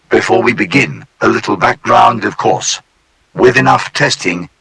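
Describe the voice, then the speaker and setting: A man voicing a polite, formal male AI supercomputer that guides trainees in a calm, courteous, clipped manner. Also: lowish voice, breathy edge, rough recording. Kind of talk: scripted narration for an analog horror tape.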